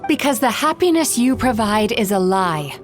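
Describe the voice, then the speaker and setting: Resolute, high-voiced young game heroine. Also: female voice, big pitch swings, normal speed, music in the background. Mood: stern. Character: resolute, feisty young female dream guardian. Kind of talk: video game voice acting.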